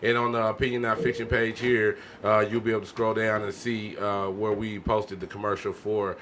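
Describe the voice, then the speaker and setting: A man's voice: fairly low pitch, level tone, moderate pace, fairly bright tone. A man hosting a podcast in casual, slangy chat, often repeating little tag phrases. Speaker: podcast host. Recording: noisy room ambience.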